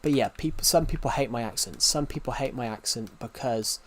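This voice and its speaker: A man's voice: medium pitch, normal speed, a bit bright. A British man with a West Country accent, a streamer chatting casually with his viewers. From Great Britain. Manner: rambling, restarts and repeats phrases. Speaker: game streamer.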